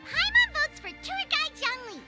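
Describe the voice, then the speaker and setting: A woman voicing a tiny, childlike, excitable fairy companion with a squeaky, perky delivery in a video game. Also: normal speed, heavily acted. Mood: curious, excited. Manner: perky, speaks of herself in third person. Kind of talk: animated video game voice acting. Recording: loud music under the voice.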